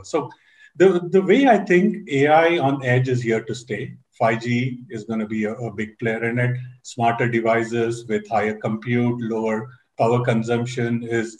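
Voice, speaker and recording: A man speaking as a panelist on a video call, enthusiastic and often restarting his words. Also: medium pitch. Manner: enthusiastic, many repeated restarts. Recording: roomy video-call audio.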